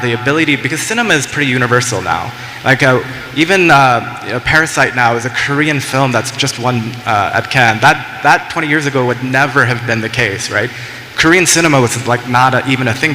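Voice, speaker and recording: A man speaking on a conference panel, halting and restarting often as he answers. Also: medium pitch, bright, forceful tone. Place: large conference hall. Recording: echoey hall, faint noise.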